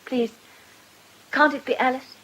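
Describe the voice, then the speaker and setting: An actress playing a gentle, wistful, sympathetic woman in a radio drama, speaking earnestly with some hesitancy. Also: sits high, fairly even intonation, unhurried, slight background noise, low-quality recording. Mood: tender.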